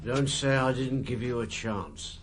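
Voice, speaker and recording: A man's voice: medium pitch, measured pace, noisy background, natural voice. An actor playing a coarse, bitter man with a blunt, sardonic way of talking in a radio drama. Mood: sardonic, taunting. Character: coarse, bitter male rival and lover, self-styled common man.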